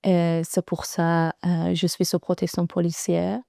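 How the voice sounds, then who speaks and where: Earnest young woman, halting speech. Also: on the low side, monotone, creaky, dark tone, dry recording, studio quality. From Iran. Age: 20s. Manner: halting, many hesitations, earnest. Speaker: guest. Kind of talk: personal interview in French and English.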